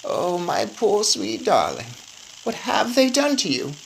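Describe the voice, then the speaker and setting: A woman voicing a loving, shaken old grandmother who speaks in a slow, drawn-out murmur, in a storybook reading. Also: medium pitch, wildly swooping pitch, creaky, a little hiss or hum, voice pushed up, full character voice, rough recording. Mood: tearful, tender.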